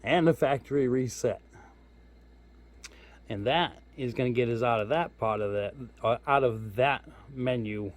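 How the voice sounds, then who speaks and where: A man's voice: mid-range voice, measured pace. A man giving a casual hands-on product walkthrough as a hobbyist reviewer, informal and thinking aloud as he goes. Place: indoors at home.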